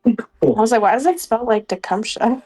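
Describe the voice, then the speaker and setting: A woman's voice: a little high, brisk, darkish tone. A woman chatting and debating informally and bluntly as a player at a tabletop game. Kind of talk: casual chat and debate.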